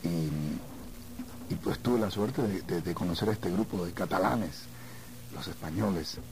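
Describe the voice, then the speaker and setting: A mature man from Argentina reminiscing in a conversational interview, haltingly, repeating words as he searches for the next. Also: low-pitched, gravelly, slight background noise. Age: middle-aged or older. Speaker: singer being interviewed.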